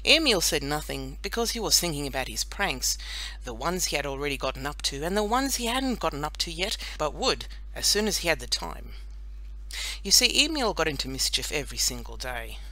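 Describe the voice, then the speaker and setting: A woman narrating a children's audiobook in an expressive, deliberate storytelling manner. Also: low-pitched, low-quality recording. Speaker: audiobook reader.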